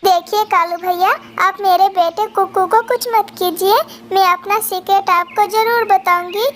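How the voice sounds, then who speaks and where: Woman as a very high, bright little mother bird. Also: steady tone, moderate pace. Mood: pleading, sly. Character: small, hard-working mother bird, polite and clever. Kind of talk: children's cartoon dialogue.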